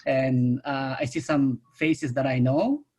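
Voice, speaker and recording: A middle-aged man from Japan with a Japanese accent, speaking non-native English haltingly in an informal talk about himself on a video call. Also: medium pitch, fairly even intonation, a little mellow. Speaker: winemaker, guest speaker.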